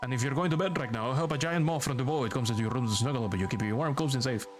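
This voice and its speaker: A man's voice: medium pitch, rapid-fire. A Venezuelan man with a thick Maracaibo accent, a native Spanish speaker, chatting playfully while he streams a video game and reads its text aloud. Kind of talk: casual live-stream commentary while gaming. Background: game music.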